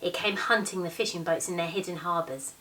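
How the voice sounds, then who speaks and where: A woman's voice: lowish voice, a little fast, bright, forceful tone, a little hiss or hum. Woman reading a storybook, slightly distant mic. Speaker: teacher reading a picture book to pupils. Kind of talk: expressive storybook read-aloud. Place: small living room, indoors, on a sofa. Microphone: camera a few metres away. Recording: distant microphone in a living room.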